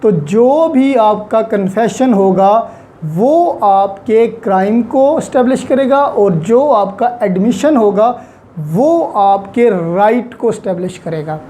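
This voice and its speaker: Female law lecturer, Pakistani accent, dark relaxed tone at middle pitch, deliberate explanatory delivery that restates key points. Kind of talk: explanatory lecture mixing in English legal terms. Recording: faint steady background noise.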